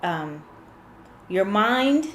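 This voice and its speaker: A woman's voice: medium pitch, big pitch swings, drawn-out words, reverberant, slight background noise. A woman confidence coach in a one-on-one session, explaining with examples and asking probing questions.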